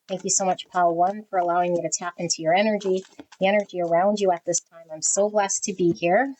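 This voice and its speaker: A woman giving a tarot reading to her viewers, talking frankly and often tagging "okay?" onto her sentences, with her voice swinging high and low. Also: fairly even intonation, fast talker, a little mellow, rough recording. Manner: pitch swings high and low as she talks. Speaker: tarot reader. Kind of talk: casual direct-to-camera tarot reading.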